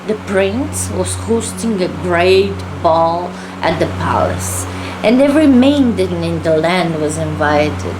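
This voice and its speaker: A woman reading a fairy tale aloud as a storyteller, in a halting delivery with small stumbles. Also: on the low side, lively intonation, over music, low-quality recording.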